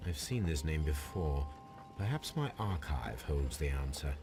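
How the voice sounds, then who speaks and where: A man playing a composed detective in a video game, probing in a measured, formal and coolly inquisitive manner. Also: very deep voice, big pitch swings, heavy background noise, full character voice. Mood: musing. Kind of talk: dramatic video game acting.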